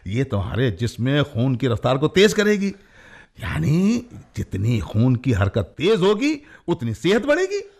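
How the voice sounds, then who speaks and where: An animated, creaky-voiced bossy friend. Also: male voice, mid-range voice, a little slow, exaggerated voice. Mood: insistent, lecturing. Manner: insistent, chatty, confidently explaining. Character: bossy, jovial male friend playing amateur doctor. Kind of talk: Hindi-Urdu radio play acting.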